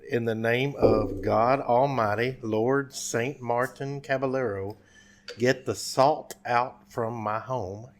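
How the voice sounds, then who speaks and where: Slow, halting man on a podcast. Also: mid-range voice, fairly even intonation. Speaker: podcast co-host. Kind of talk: casual banter and halting read-aloud.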